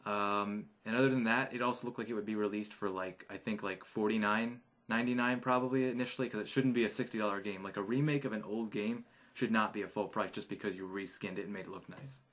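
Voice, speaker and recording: A man's voice: lowish voice, fast, fairly bright tone. A man casually rambling his opinions on video games, chatty and offhand with many fillers. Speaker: gaming YouTuber.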